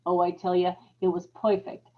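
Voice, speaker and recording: A woman's voice: on the low side, completely flat delivery, moderate pace, muffled recording, close to an everyday voice. A woman playing a scheming, guilt-ridden murderous wife in an old time radio horror play, with dramatic, folksy, emphatic delivery. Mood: gloating, bitter. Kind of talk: old time radio horror acting, confessional narration and dialogue.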